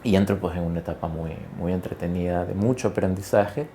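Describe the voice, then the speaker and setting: A man from Ecuador in his forties, talking through his career in a relaxed interview, with lots of fillers, restarts and tag questions. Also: deep voice, fairly quick, a little hiss or hum. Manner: conversational, many fillers and self-corrections, tag questions. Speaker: guest executive. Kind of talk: interview conversation.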